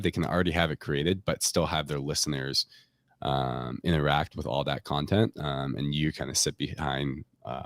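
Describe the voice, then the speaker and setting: Low-voiced man, casual product talk. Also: dry recording, clean studio sound. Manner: informal, hedging with frequent "like" and "kind of". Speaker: tech company representative, podcast guest. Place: remote recording on a live stream call.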